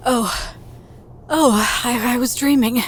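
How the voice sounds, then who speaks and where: An actress playing a troubled, drowsy woman confiding haltingly in naturalistic audio drama. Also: a little high, slight background noise. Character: troubled, hesitant woman confiding in a close friend.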